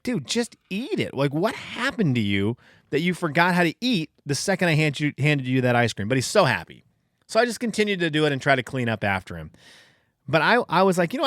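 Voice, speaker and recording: A man's voice: a little high, a bit bright, no echo, clean studio sound. A man in his thirties from Venezuela, hosting a casual solo podcast and telling rambling, self-deprecating stories. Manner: rambling storyteller, self-deprecating, frequent restarts. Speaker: podcast host.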